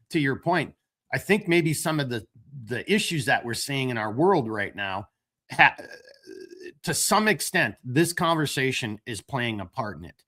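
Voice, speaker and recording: Bright-voiced man hosting a rambling chat. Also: medium pitch. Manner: rambling, animated, tells dad jokes. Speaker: podcast host. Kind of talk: casual late-night chat.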